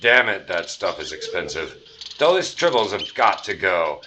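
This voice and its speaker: A man's voice: low-pitched, big pitch swings, measured pace, background noise. A man playing a cocky, commanding starship captain with a swaggering delivery in a sci-fi audio comedy. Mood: irritated.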